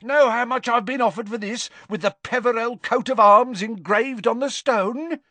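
A man's voice: high voice, huge pitch swings, measured pace, a bit bright, no echo, pitched above the performer's own voice, full character voice. A man voicing a belligerent, sneering old wizard father in an audiobook, bellowing and jeering. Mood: boastful fury.

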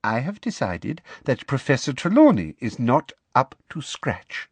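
A man voicing a cruel woman official with a high girlish voice and cold, precise diction. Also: wildly swooping pitch, a little slow, slightly rough, dry recording, heavily acted. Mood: gloating. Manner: sweetly cold, precise, gloating.

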